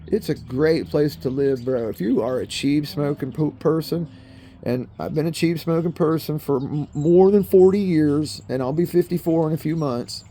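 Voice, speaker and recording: Man in his fifties, rambling vlog talk, fairly high for a man, mellow tone, faint hiss behind. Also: moderate pace. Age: 50s. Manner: laid-back, swears often, keeps calling the viewers bro and people. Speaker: vlogger. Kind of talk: rambling casual monologue. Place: in a car.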